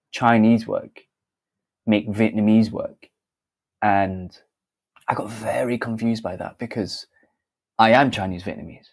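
A young British man answers interview questions in a low voice. He talks candidly and thoughtfully about his work as a dancer. He often breaks off to correct himself and adds small fillers. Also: gravelly, darkish tone. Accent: British English. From Britain. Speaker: dancer and theatre maker. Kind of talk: interview answer, reflective storytelling.

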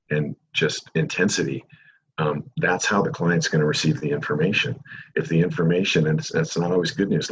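A middle-aged American man with an extremely deep, calming voice that barely rises or falls. He explains things at length in a measured, unhurried way. The recording sounds slightly dull, with a faint hiss behind it. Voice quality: calming low voice. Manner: calm, measured, long-winded explanations. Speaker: real estate agent, guest. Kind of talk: podcast interview answers.

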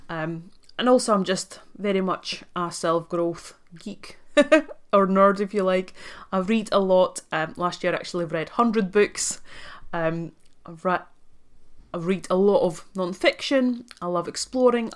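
A woman's voice: fairly low pitch, measured pace. A woman in her thirties from Latvia, a native Russian speaker whose English carries a Latvian accent with a Scottish tinge, hosting a solo podcast in an earnest, chatty way with frequent ums. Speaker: podcast host and life coach.

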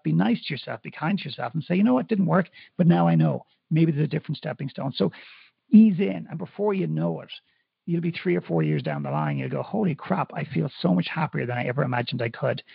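A man's voice: on the high side, vocal fry, slightly rough, no echo, muffled recording. A man from Ireland, a coach on a podcast, talking earnestly and emphatically with many fillers and restarts over a remote call. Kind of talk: reflective motivational talk.

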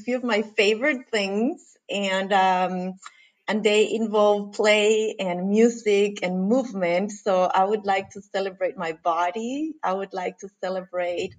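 A middle-aged woman from Costa Rica, a guest in a casual podcast interview, talking with eager enthusiasm and many fillers. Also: medium pitch.

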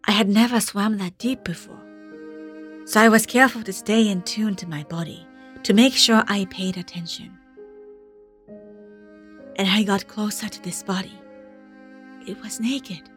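Actress doing wistful lady diver, slow hushed storytelling, audio drama monologue, soft music behind. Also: mid-range voice, close to an everyday voice. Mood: reflective. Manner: measured, hushed, reflective storytelling. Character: wistful Japanese ama diver woman recounting her haunting underwater memories.

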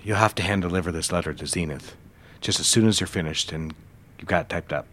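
A male advertising boss from a 1960s audio drama. His voice sits very low. He speaks with measured insistence, smooth and controlling, as someone used to giving orders. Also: fairly bright tone. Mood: businesslike, brisk. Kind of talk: period audio drama acting.

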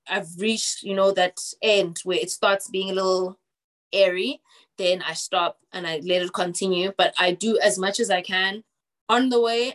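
A young woman singer answering interview questions in a chatty, talkative way, with plenty of fillers. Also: fairly bright tone. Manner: chatty, fillers, drops to low voice.

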